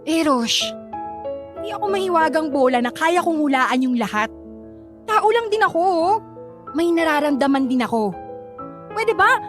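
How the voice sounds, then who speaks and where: A woman's voice: sits high, animated, moderate pace, long pauses, over music. A young woman with a Filipino accent acting an anxious, indecisive teenage student in a radio drama. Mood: hurt, pleading.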